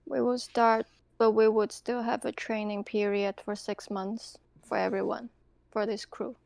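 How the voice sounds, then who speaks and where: Slow, even-toned woman with higher voice. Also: creaky. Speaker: tabletop game player. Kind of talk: in-character role-play dialogue and table chat.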